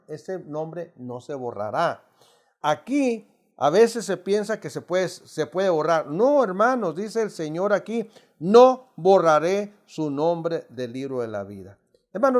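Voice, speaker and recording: A middle-aged man from Mexico with a Mexican accent, teaching an adult Bible study in an emphatic, preacherly way, constantly addressing the listeners as brothers. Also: high-pitched. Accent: Mexican Spanish.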